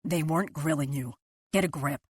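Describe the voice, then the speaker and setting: A woman narrator voicing a controlling husband who hushes his wife in clipped, urgent commands. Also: low voice, moderate pace, breathy edge, no echo. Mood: stern. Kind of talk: audiobook character voice.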